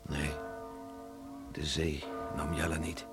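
An actor in a Dutch radio play, playing a guilt-ridden, stubborn village father, speaking in a solemn, halting, heavy-hearted way. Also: very deep voice, level tone, over music. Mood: broken guilt.

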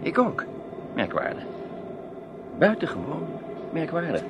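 A courteous, inquisitive gentleman, with animated intonation. He is formal and suave, heard over clear vehicle noise in a radio play. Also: fairly high pitch, measured pace, full character voice. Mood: curious, polite. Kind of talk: Dutch radio play acting. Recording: aircraft engine noise behind.

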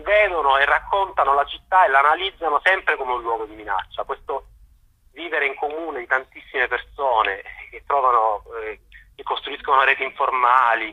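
A man giving spoken analysis as an interview guest. His voice is very bright and forceful, with a husky rasp. He speaks haltingly, repeating words as he searches for the next one, and sounds like he is on a thin telephone line to a radio programme. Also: mid-range voice.

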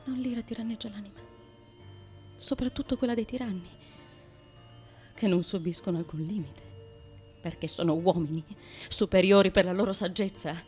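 A woman's voice: mid-range voice, normal speed, long pauses, natural voice. Woman acting intense tormented tyrant's wife, music behind. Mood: grave, insistent. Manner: intense, dramatic, with hushed asides and outcries. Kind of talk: theatrical radio drama monologue.

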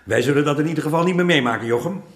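An actor playing an exacting master painter in a historical radio drama, chiding his apprentice in an unhurried way with hesitant pauses. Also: on the high side, lightly acted. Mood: measured. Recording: echoey room, music underneath.

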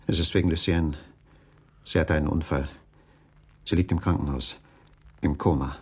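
An actor playing a troubled, brooding husband in a radio crime drama, grave and subdued. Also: male voice, a bass voice, fairly even intonation, normal speed, husky, heavily acted. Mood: worried, grave. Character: troubled, brooding husband puzzling over wife's suspicious accident. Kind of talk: 1980s German radio crime drama dialogue.

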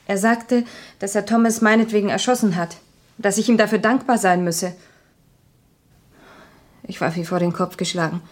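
A woman's voice: mid-range voice, moderate pace, long pauses, darkish tone, natural voice. An actress playing an anguished, guilt-ridden young woman in a 1970s radio crime drama, with a grave, dramatic delivery. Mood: agitated.

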